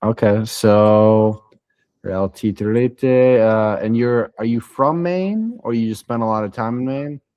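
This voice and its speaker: A man's voice: mid-range voice, measured pace, dry recording. Laid-back man hosting an interview. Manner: relaxed, casual, filler-heavy. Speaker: interview host. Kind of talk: casual interview conversation.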